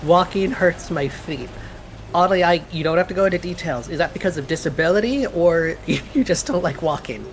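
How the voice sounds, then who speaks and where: A woman's voice: lowish voice, expressive, normal speed, slight background noise, rough recording. A woman from Canada with a Toronto-Hamilton accent, co-hosting a stream and teasing her friends with a laugh. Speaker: stream co-host. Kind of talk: casual gaming chat.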